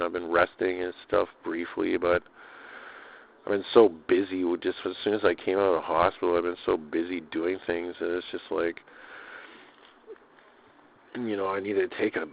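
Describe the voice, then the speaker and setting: A man with a deep voice talks through a personal audio log. His delivery is casual, rambling and slangy, circling back over his own phrases. The sound is thin and lo-fi, like a narrow radio channel. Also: slight background noise. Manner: loose, slangy, swearing, repeats himself.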